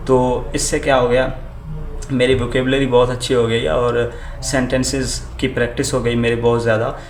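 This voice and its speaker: A young man with an Urdu accent, a native Urdu speaker, giving language-learning advice in a halting casual vlog style full of fillers and restarts, switching between English and Urdu. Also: mid-range voice, level tone. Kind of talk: casual talking to camera. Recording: echoey room with faint ambient noise.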